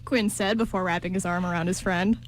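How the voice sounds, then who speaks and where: A woman's voice: on the low side, fairly even intonation, a little fast. A woman reading scripted story narration for an amateur radio play. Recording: clearly noisy amateur recording.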